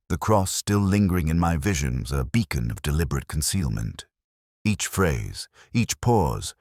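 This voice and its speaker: Male actor, cerebral detective, extremely low voice, contemplative scripted mystery narration, measured literary delivery, dry close sound. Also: no echo, heavily acted. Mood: brooding, reflective.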